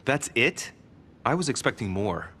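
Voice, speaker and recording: A persistent, very expressive young man. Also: medium pitch, measured pace, a little hiss or hum. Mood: teasing. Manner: coaxing, insistent. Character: persistent, earnest young male secret-society investigator. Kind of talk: dramatic video game dialogue. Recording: music under the voice.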